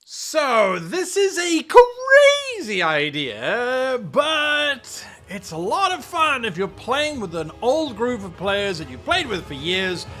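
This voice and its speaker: A man's voice: very high voice, wildly swooping pitch, slow pace, few pauses, pushed and bright, soft background music. A man hosting a tabletop game-mastering show, explaining to camera with theatrical, exaggerated emphasis. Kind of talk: animated scripted explainer to camera.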